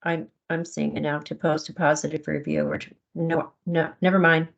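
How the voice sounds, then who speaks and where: A woman chairing a committee meeting on a video call, brisk and correcting herself as she reads. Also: deep voice, level tone, vocal fry, highs cut off.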